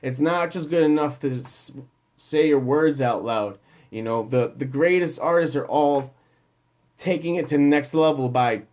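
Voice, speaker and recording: A man's voice: mid-range voice, darkish tone. A man who is a music producer, giving singers casual, rambling advice with lots of fillers and restarts.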